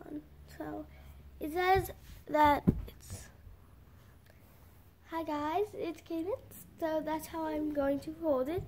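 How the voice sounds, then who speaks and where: High-voiced young vlogger, unhurried. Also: female voice, fairly even intonation, a little mellow. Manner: chatty, rambling with fillers. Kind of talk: casual vlog. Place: small bedroom indoors.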